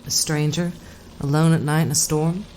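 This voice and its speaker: A woman acting in an audio drama as an enigmatic hitchhiker, an unflappable collector who speaks in a measured, cryptic, knowing way. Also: deep voice, steady tone, darkish tone, slight background noise, lightly acted, poor audio. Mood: cryptic, composed.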